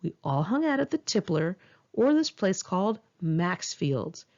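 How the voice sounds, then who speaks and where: A woman's voice: lowish voice, big pitch swings, a little slow, a little mellow, muffled recording. A woman reading her own memoir aloud in an informal audiobook style, candid, wry and conversational. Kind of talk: informal first-person audiobook narration with asides.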